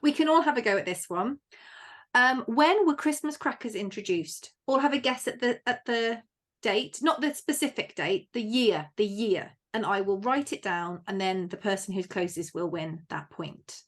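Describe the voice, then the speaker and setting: A woman's voice: medium pitch, moderate pace. A woman hosting a podcast quiz, casual and playful, poking fun at herself. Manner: playful, self-deprecating. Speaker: podcast host. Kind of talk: casual chat, running a quiz.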